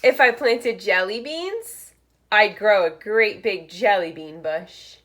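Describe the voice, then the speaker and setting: A woman reading a picture book aloud to young children like a teacher, in expressive, deliberate short phrases with asides. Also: mid-range voice.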